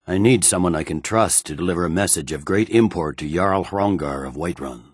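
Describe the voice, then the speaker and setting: A man's voice: low-pitched, fairly quick, husky, no room sound, clean studio sound. A man playing a stern, weary imperial general in a video game, speaking with clipped, brusque, formal authority. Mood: stern, businesslike. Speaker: military commander. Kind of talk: scripted video game dialogue.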